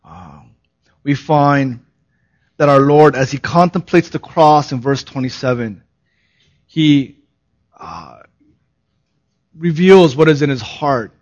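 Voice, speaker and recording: A man who is a pastor giving a sermon, hoarse in his voice, moving from a laughing, relaxed opening into earnest, solemn preaching. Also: a little high, slow pace, long pauses, highs cut off.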